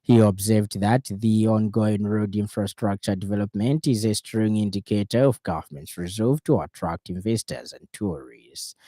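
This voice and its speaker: A man reading a scripted radio news bulletin in a formal, measured way. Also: fairly low pitch, dark tone, no room sound. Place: radio studio.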